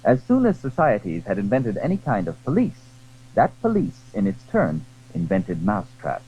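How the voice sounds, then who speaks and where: Husky, highly animated male storyteller. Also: moderate pace, dull audio. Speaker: narrator. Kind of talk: dramatic scripted story narration.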